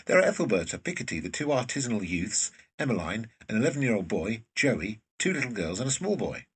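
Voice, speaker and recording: A man with a raspy voice reading scripted narration for an audio drama. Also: fairly low pitch, very animated, fast.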